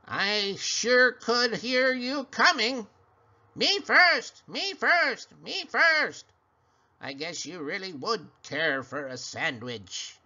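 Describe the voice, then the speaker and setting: A man voicing a small, bossy female sand creature who cackles and speaks slowly and commandingly. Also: high voice, lively intonation, pushed and bright, voice pushed up, heavily acted. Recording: dull lo-fi sound.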